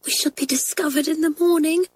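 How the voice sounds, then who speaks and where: A woman's voice: high-pitched, steady tone, brisk, a little mellow, no room sound, voice pushed up, natural voice. A woman voicing Kathleen, a timid schoolgirl whispering on the edge of tears. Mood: tearful. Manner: whispering, tearful.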